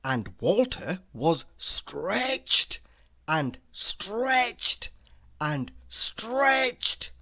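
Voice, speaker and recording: A woman reading a children's story aloud, deliberately and with dramatic emphasis. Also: mid-range voice, big pitch swings, a bit bright. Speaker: storybook reader. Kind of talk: expressive children's read-aloud narration.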